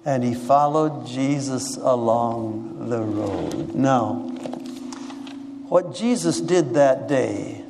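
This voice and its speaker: A man's voice: medium pitch, drawn-out words, creaky, background noise. A man preaching a sermon in a conversational way, often restarting and repeating words and asking the audience questions. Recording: long reverb of a large hall.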